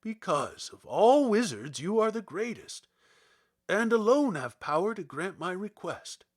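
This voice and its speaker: A man's voice: high-pitched, sing-song, a little slow, pitched above the performer's own voice, heavily acted. A man voicing a cowardly, blustering lion in a storybook reading, with emphatic, theatrical delivery. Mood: pleading. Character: cowardly lion, blustering, boastful yet afraid.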